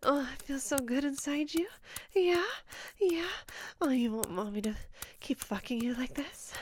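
A woman playing a doting, dominant lover in an intimate audio roleplay, with a breathy voice and a coaxing manner. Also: high-pitched, darkish tone, a little hiss or hum. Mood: eager, commanding. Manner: slow, coaxing, often whispered.

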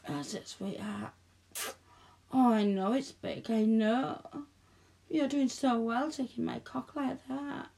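A woman speaking softly and coaxingly in an intimate audio roleplay, close to the mic, often dropping to a whisper. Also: on the high side. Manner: soft, coaxing, slow and breathy with frequent whispers.

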